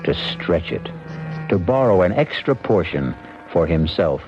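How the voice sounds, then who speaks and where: A man hosting a radio drama, speaking in an ominous, deliberate, theatrical scripted style. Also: sits low, big pitch swings. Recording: muffled old radio broadcast. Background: music.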